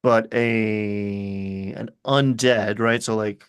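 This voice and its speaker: A man talking casually as a game master, telling stories in an animated way and acting out little asides. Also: fairly low pitch, very slow, clear, resonant tone. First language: English. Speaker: game master discussing his tabletop games. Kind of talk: casual conversational chat.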